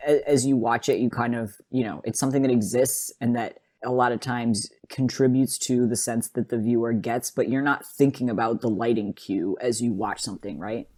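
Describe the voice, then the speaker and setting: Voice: mid-range voice, fairly even intonation, moderate pace, few pauses, a little mellow. A podcast host talking through an analysis in a casual, conversational and enthusiastic way, often adding "like" and checking in with "right?".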